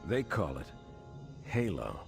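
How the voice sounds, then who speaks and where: A grave low-voiced military captain. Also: male voice, sing-song, vocal fry, music in the background, full character voice. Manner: commanding, grave, measured. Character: stern, authoritative male military captain. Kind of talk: video game cutscene acting.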